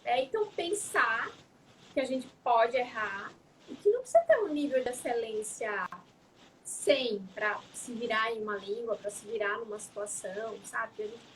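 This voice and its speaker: A woman's voice: fairly high pitch, expressive, normal speed, a bit bright, a little hiss or hum, poor audio. A young adult woman, a psychologist, talking in a casual interview, reflective and often adding tag questions. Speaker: psychologist guest.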